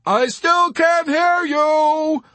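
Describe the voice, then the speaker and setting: Man playing a high-voiced, placidly oblivious navigator. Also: huge pitch swings, takes it slowly, sharp tone, no echo, heavily acted. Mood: innocent perplexity. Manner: innocent, mildly reproving. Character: placid, oblivious, grinning moon-faced male navigator. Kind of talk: audiobook character acting.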